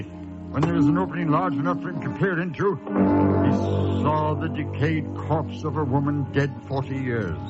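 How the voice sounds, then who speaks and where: An actor playing a brooding, ominous storyteller, delivering dramatic scripted narration with deliberate pauses. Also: male voice, on the high side. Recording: muffled radio recording, loud music behind.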